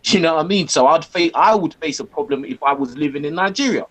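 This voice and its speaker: A man's voice: fairly high pitch, fast. A man from England, talking as a podcast guest and checking in often with "do you know what I mean". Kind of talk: casual podcast discussion.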